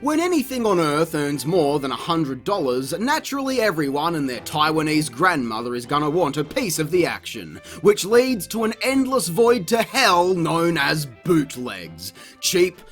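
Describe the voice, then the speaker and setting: Animated high-voiced man reviewing games. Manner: exclamatory, sarcastic, crude swearing. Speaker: video game reviewer, host. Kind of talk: comedic review commentary, part scripted.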